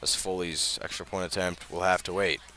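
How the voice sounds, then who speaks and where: Male American radio football announcer, lower register, measured delivery that turns emphatic for key plays. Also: fairly even intonation, bright, forceful tone, slight background noise. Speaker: play-by-play announcer. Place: outdoors at a high school football game.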